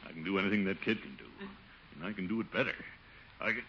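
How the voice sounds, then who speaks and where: An actor playing a vain, portly middle-aged American uncle who blusters pompously as head of the household in a 1950s radio situation comedy. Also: male voice, deep voice, quick pace, natural voice. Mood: defensive boasting. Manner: pompous, theatrical. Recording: dull, noisy 1950s radio recording.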